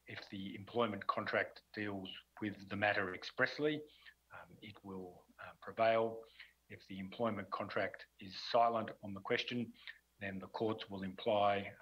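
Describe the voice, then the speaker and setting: A man speaks in a low, flat voice with a husky edge. He answers a panel question formally and haltingly, filling the gaps with ums and uhs. The recording is dull and lo-fi. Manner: hesitant, many ums and uhs. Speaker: conference panelist. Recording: dull lo-fi sound.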